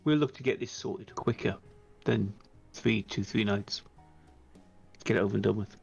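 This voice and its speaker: Man playing a gravelly, husky, wary vampire negotiator. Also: fairly low pitch, fairly even intonation, moderate pace, darkish tone, lo-fi sound, faint music behind. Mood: businesslike.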